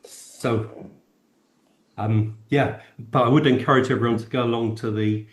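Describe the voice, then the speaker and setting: A man's voice: medium pitch, steady tone, measured pace. A man co-hosting a podcast, discussing casually with tentative, circling phrasing. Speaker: podcast co-host. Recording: roomy, echoing sound.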